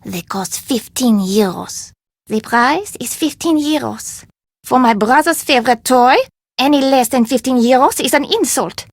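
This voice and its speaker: An actress playing a proud, stubborn street vendor in a video game, haggling emphatically in formal phrasing. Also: female voice, high voice, measured pace, dry recording, clean studio sound. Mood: proud, insistent. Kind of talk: video game character dialogue.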